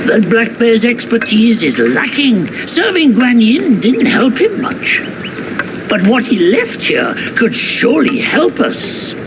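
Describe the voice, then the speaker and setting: A man's voice: very high voice, huge pitch swings, slow pace, noisy background, full character voice. An actor voicing a gleeful, scheming male spirit in a game cutscene, with a sly, cheerful manner. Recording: music under voice. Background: music.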